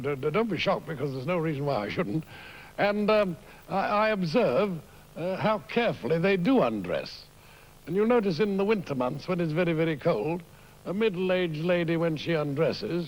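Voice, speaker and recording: A man's voice: on the high side, rough recording. An older man genteelly describing a comic undressing routine with a cheeky, anecdotal manner. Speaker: pantomime dame performer. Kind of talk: archival interview.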